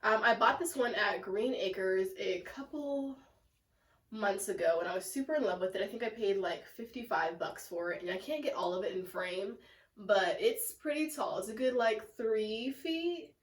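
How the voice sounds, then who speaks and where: A young woman with a sick, congested, raspy and nasal voice, chatting casually in a home plant vlog with lots of fillers. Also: medium pitch, expressive, normal speed. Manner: chatty and self-deprecating, lots of "like" and "um". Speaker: plant vlogger. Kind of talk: casual rambling vlog chat. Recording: echoey room, mic at a distance.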